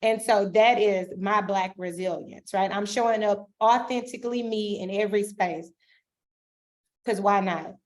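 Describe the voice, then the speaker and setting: A woman doctoral student giving emphatic panel remarks, often tagging points with "right?". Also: medium pitch. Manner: emphatic, rhetorical, repeats "right?".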